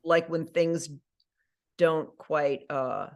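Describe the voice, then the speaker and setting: A middle-aged woman, an actress and audiobook narrator, chatting casually in an interview, animated and full of fillers and self-corrections. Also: deep voice, very slow.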